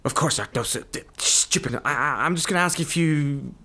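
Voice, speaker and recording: A man playing a bumbling, nervous GP, awkwardly over-reassuring, in a dark comedy radio play. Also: on the high side, fairly quick. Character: flustered, bumbling, nervous Irish GP.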